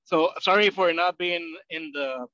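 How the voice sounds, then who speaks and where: A man's voice: on the high side, a little slow, bright, forceful tone, no echo, muffled recording. A man calling in to a video meeting while driving, introducing himself in a friendly way. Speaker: financial analyst. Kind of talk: self-introduction on a video call.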